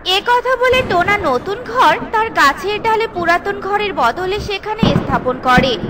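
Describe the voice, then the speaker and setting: A woman's voice: very high-pitched, expressive, a little slow. A woman reading scripted storybook narration for a children's cartoon.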